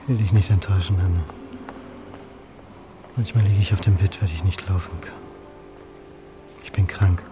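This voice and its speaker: An uneasy, self-reproaching academic in his forties acted by a man, with a lowish voice. He talks introspectively to himself in a radio play while loud music plays behind him. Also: steady tone, normal speed, pauses often, natural voice. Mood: melancholy, longing. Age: 40s. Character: anxious, self-doubting history lecturer, paranoid and lovesick. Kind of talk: radio drama inner monologue and dialogue.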